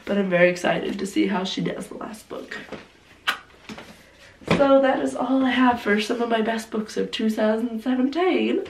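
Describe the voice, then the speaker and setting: A hoarse young woman chatting casually about her favorite books, gushing and saying "like" often, with a cough now and then. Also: a little high, normal speed. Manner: gushing, heavy use of "like". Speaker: book vlogger. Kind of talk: casual book review chat. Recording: roomy, distant mic, faint noise.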